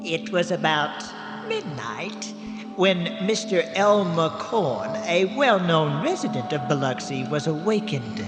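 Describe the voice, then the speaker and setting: An actor playing an eccentric, obliging old morgue attendant who tells spooky radio tales in a theatrical, drawn-out way. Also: male voice, a little high, very animated. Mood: spooky relish. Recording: reverberant radio drama, music behind.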